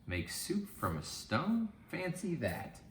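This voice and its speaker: A man's voice: a little high, wildly swooping pitch, slow, roomy sound, slight background noise, pitched above the performer's own voice, heavily acted. A man voicing a curious, easily amazed little old lady from a storybook. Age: older.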